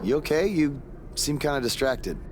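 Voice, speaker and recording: An actor playing an earnest, hot-headed young wrestler in a video game cutscene. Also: male voice, fairly high pitch, animated, moderate pace, noisy background, natural voice. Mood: uneasy.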